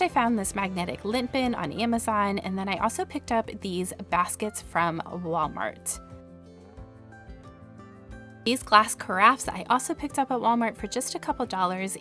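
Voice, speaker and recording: Woman vlogger, slightly raspy from allergies, friendly upbeat voice-over, mid-range pitch, soft music underneath. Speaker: home decor vlogger. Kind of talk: scripted voice-over narration.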